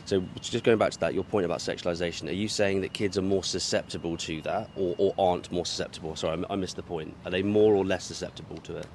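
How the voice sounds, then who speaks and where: Street interviewer, male, very deep, quick, halting rephrasings, faint traffic. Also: steady tone, darkish tone. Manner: halting, hedging, rephrases his questions. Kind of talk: vox pop questioning. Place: city street outdoors.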